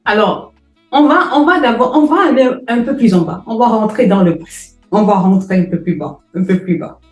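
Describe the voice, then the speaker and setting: A woman's voice: medium pitch, expressive, moderate pace, a little mellow, reverberant, faint music behind. A woman hosting an interview, speaking with effusive emphasis and repeating phrases for effect. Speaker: host. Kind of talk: emphatic podcast introduction and interview.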